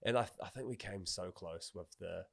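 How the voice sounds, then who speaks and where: A man's voice: deep voice, a little fast, gravelly, slightly rough. A man with a New Zealand accent hosting a casual chat, informal, agreeable and slangy in his talk. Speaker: podcast host.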